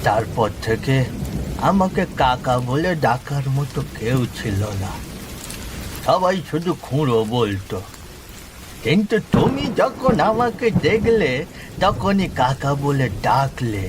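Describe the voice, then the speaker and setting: A man's voice: medium pitch, big pitch swings, takes it slowly. A man playing a proud, touchy old village elder in a horror cartoon, given to grumbling and scolding. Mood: fond, wistful. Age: older. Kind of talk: Bengali horror cartoon voice acting. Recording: rain and thunder noise behind.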